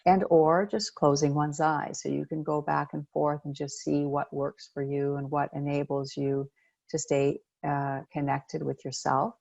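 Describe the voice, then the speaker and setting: A woman leading a guided meditation as a coach, speaking gently and unhurriedly with pauses between phrases. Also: sits low, flat delivery, creaky, dry recording, dull audio.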